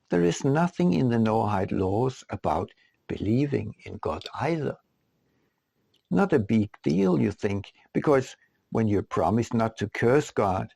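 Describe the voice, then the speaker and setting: A man narrates a scripted audiobook at a slow, deliberate pace. His voice is mid-pitched with heavy vocal fry. He phrases things rhetorically, as an author reading his own writing. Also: a little mellow, no echo.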